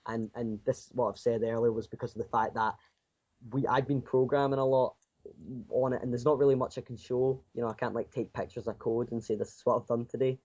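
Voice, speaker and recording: A man's voice: medium pitch, fairly even intonation, a little fast, darkish tone, lo-fi sound. A sixteen-year-old male game developer giving casual, unscripted interview answers, rambling and often repeating his phrases. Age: 16, teenager. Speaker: self-taught indie game developer being interviewed.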